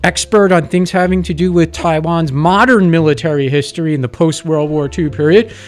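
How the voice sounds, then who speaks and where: A man with a somewhat higher speaking voice hosts a radio interview. He talks in a casual, friendly way and keeps nudging his guest along with quick "mm-hmm"s. Faint music sits quietly under him. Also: steady tone. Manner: friendly, lots of short backchannel "mm-hmm"s. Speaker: host. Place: radio studio.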